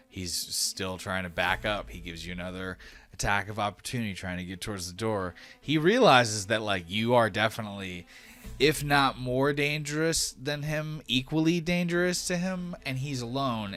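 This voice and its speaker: Animated, deep-voiced male game master. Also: normal speed, soft background music. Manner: casual, animated, exclamatory. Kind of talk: improvised tabletop game narration.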